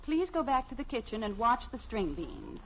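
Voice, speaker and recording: An actress playing a sensible middle-aged wife and mother, gently chiding in an American accent and 1950s radio sitcom style. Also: fairly high pitch, sing-song, normal speed. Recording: dull, noisy old radio recording.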